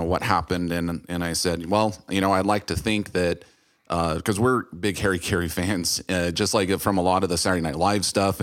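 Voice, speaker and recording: A man's voice: low-pitched, fairly bright tone, no echo, clean studio sound. A middle-aged American man hosting a podcast, chatting casually and telling personal anecdotes. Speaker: podcast host. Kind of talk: casual chat.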